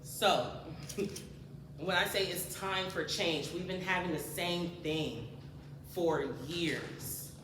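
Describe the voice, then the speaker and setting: A woman giving a campaign pitch as a candidate at a community forum, speaking in a confident, emphatic way. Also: slow. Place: large community cultural center hall. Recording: distant voice in a reverberant hall with noise.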